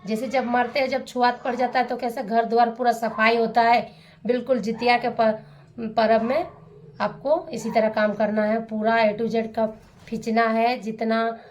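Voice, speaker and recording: A young woman, hoarse from a sore throat, chatting candidly in a village vlog while she cooks. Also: on the high side, level tone, a little slow, roomy sound, muffled recording, a little hiss or hum. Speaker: vlogger. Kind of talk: casual vlog narration while cooking. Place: village home kitchen.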